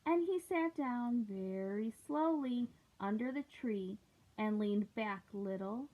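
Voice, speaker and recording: Lady reading a kids book real slow, asks the kids stuff, tinny phone-ish sound. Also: medium pitch. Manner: gentle, asks listeners questions. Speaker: read-aloud storyteller. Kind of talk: reading a picture book aloud to children. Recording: tinny lo-fi recording.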